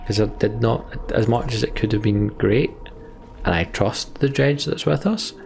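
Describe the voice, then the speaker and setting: Male let's player, low and gravelly, reading game narration, casual asides, some noise behind. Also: a little mellow. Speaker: let's play host reading game dialogue aloud. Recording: background noise.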